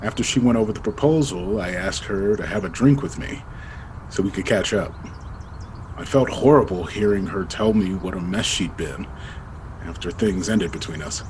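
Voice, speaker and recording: A man's voice: deep voice, moderate pace, noisy background, close to an everyday voice. A man acting in an audio drama as a guarded, regretful husband who talks in a colloquial, defensive way. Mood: regretful, low-key. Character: married man hiding an old engagement, guarded and regretful.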